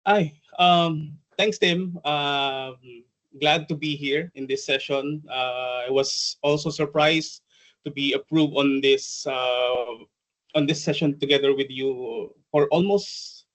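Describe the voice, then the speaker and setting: A man's voice: fairly high pitch, fairly even intonation, takes it slowly, a bit bright, low-quality recording. A man with a Filipino accent, probably in his forties, giving an online workshop in a chatty, hesitant way, full of ums and uhs. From Philippines. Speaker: trainer and presenter. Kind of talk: online conference workshop presentation.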